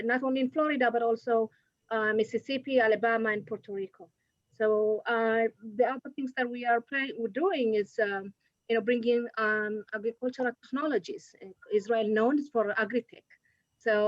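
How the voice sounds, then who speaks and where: Woman diplomat with halting speech. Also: a little high, no room sound, dull audio. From Ethiopia. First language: not English. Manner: halting, many fillers and restarts. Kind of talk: video call interview. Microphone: video call.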